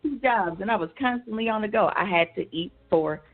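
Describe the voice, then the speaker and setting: A woman's voice: medium pitch, fairly quick, music in the background. Blunt American woman on a phone-like line. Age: 30s. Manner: blunt, profane, emphatic. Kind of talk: casual chat. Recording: thin phone-like audio.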